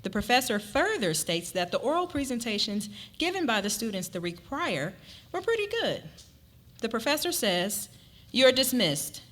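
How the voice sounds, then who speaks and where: A woman's voice: fairly high pitch, sing-song, normal speed, fairly bright tone. A woman narrating a scripted story as a dramatic reading.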